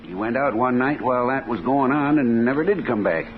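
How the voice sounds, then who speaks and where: An actor playing a defensive, folksy American rooming-house landlord in 1950s radio drama, halting and repeating his words. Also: medium pitch, animated, natural voice. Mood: hesitant, helpful. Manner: halting, stammering, colloquial. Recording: noisy, tinny 1950s radio recording.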